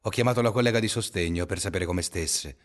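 An actor playing a brooding schoolteacher, narrating and talking insistently in a colloquial way in a radio drama. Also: male voice, deep voice, a little fast, a little hiss or hum, lightly acted. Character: brooding male schoolteacher fixated on a pupil's mistake.

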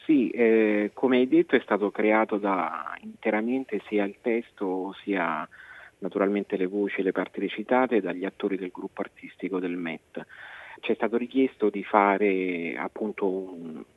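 A man's voice: on the low side, unhurried, no room sound. A man, a theatre director, explaining his work as a guest interviewed over the telephone. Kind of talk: radio phone interview. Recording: muffled narrow phone line.

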